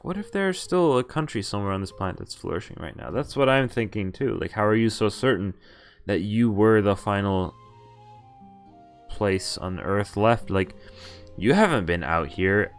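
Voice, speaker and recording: Man, lowish voice, streamer reading a game aloud, halting, self-correcting, wry asides, soft background music. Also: lively intonation, clean tone. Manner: halting, stumbles over names and self-corrects. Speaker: streamer playing a visual novel.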